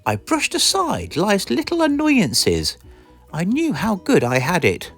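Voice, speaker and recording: Slow, very animated British woman reading a storybook. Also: medium pitch, husky, faint music behind. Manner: expressive, theatrical. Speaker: storybook reader. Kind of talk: animated scripted storybook narration.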